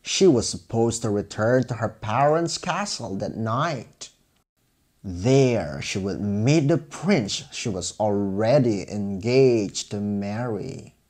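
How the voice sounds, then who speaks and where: A man's voice: fairly low pitch, lively intonation, takes it slowly, darkish tone. A man narrating a scripted fairy tale for children as a storyteller.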